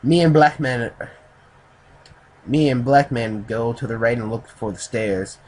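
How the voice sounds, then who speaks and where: Man voicing a blunt, gruff take-charge Russian man. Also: medium pitch, steady tone, a little slow, a little mellow, close to an everyday voice. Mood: decisive. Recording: clearly noisy home recording.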